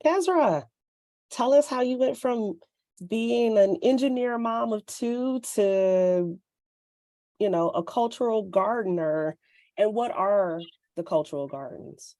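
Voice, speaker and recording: A woman hosting a webinar panel, asking questions in an unhurried, informal, conversational way. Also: medium pitch, lively intonation, warm tone, no echo. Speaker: webinar host and moderator.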